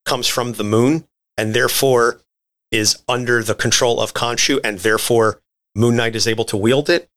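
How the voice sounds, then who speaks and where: A man's voice: medium pitch, fairly bright tone. A man co-hosting a podcast, explaining comic book history in a conversational, articulate and wordy way. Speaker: podcast co-host.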